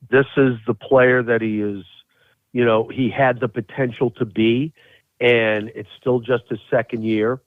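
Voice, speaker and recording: A man's voice: lowish voice, dry recording. A man giving casual, emphatic sports analysis as a play-by-play announcer guesting on a podcast, repeating phrases for stress. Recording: thin, narrow phone-like line.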